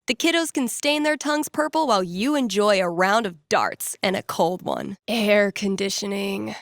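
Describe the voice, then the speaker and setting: A woman's voice: medium pitch, moderate pace, no echo, clean studio sound, close to an everyday voice. An actress voicing a wry, playful young woman who hosts small-town radio in a video game, with a casual, irreverent, conversational delivery. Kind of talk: casual, jokey radio ad reads and call-in chat.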